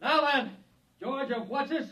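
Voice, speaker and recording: A man's voice: very high-pitched, measured pace, bright, forceful tone, heavily acted. A man playing a tough, bossy police captain in a 1950s radio drama, bluntly barking orders. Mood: commanding. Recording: echoey, distant 1950s radio recording.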